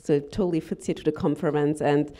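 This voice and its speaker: A woman introducing speakers on a conference stage in a polite, halting, formal way. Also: sits low, fairly even intonation, dark tone, a little hiss or hum. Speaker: conference presenter introducing guests.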